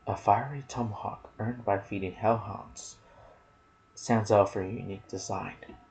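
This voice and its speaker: A man narrating game lore in ASMR style, soft and breathy, often slipping toward a whisper, musing aloud in casual asides. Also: on the low side, fairly even intonation, unhurried, a little hiss or hum, poor audio. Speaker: gaming video narrator.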